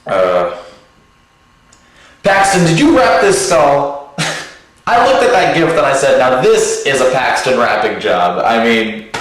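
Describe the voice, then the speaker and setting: A man playing a mild, awkwardly polite captive in a comedy sketch, with halting, deadpan delivery. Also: medium pitch. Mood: placating. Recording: very echoey room, distant mic.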